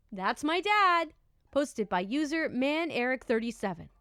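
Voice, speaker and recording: A woman narrating online stories in an animated way, with exasperated, indignant asides. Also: a little high, dry recording, clean studio sound. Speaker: storyteller reading online stories. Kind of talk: story narration with commentary.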